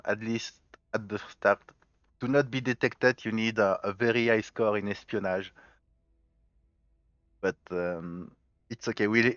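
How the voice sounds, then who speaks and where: Low-pitched male let's play host with a mixed French and Spanish accent, walking through choices out loud, on a dull, dry mic. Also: no room sound. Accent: mixed French, Spanish and Galician. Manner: thinking aloud, explaining step by step. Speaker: gaming channel host.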